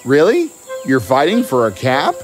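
A man's voice: medium pitch, wildly swooping pitch, moderate pace. A man voicing an eager, bold young fairy tale hero in a cartoon, earnest and exclamatory. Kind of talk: animated fairy tale dialogue. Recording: music behind the voice.

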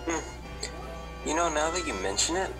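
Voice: medium pitch, sing-song, unhurried. A performer playing a puzzled schoolboy cookie in a children's radio play. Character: Snickerdoodle Chad, schoolboy cookie, casual and puzzled. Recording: distant voice under loud music.

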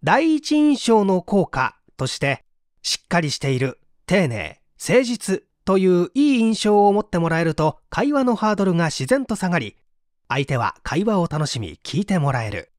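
A narrator reading a book aloud from a script, with clearly phrased, measured delivery. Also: medium pitch, very animated, dry recording, studio quality. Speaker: audiobook narrator.

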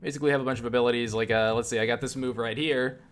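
A man giving casual, unscripted video game commentary, enthusiastic and sweary, often halting and repeating words as he rambles. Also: medium pitch, steady tone, slight background noise. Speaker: video game reviewer and player.

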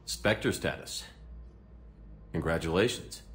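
A man acting as a steady, supportive military commander in a video game, measured and terse. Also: deep voice, soft background music.

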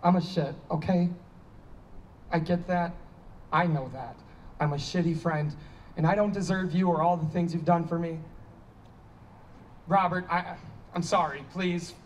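Casting: male actor as guilty, awkward young man, high voice, halting, distant outdoor stage recording with faint noise. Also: fairly even intonation, lightly acted. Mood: apologetic. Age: young adult. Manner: halting, sheepish. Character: awkward, apologetic straight man, guilty needy friend. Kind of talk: staged play reading. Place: small outdoor stage by a block wall.

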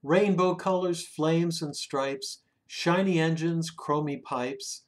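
A man reads a children's picture book aloud expressively, drawing out words and hushing the ends of phrases. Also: fairly high pitch, steady tone. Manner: dramatic, with drawn-out words and hushed phrase endings. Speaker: children's story reader. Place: small room indoors at home.

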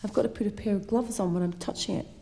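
A young adult woman presenting and narrating a schools history programme, explaining things clearly and simply for children. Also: mid-range voice, quick pace, warm tone.

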